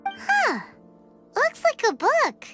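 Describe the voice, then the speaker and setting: A woman voicing a tiny, childlike fairy companion in a video game, perky, squeaky and full of curiosity. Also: wildly swooping pitch, drawn-out words, heavily acted. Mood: curious. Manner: perky, refers to self in third person. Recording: music behind voice. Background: loud game music.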